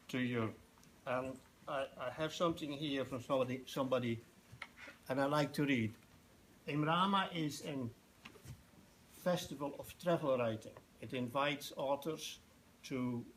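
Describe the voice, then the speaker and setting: An older man with a Dutch accent giving an informal public talk in a halting, anecdotal way. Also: fairly high pitch, rough recording. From Netherlands. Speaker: festival organiser giving a presentation. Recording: noticeable background noise, live talk.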